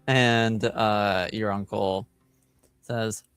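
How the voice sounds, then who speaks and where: A man narrating as game master of a tabletop roleplaying game, informal and halting as he improvises. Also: lowish voice, steady tone, a bit bright, clear, resonant tone, faint music behind.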